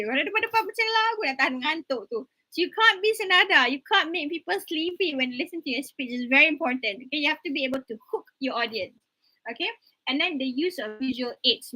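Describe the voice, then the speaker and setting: A woman's voice: high voice, pushed and bright. A young woman lecturer with a Malaysian accent, giving an online class on presentation skills in a chatty, teasing way, mixing English and Malay.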